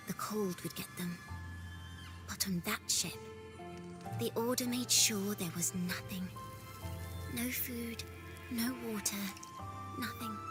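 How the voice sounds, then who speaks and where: A woman's voice: medium pitch, close to an everyday voice. A woman voicing a young female performer in a video game, telling her past in a solemn storytelling manner. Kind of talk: game voice acting. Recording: game audio with music behind.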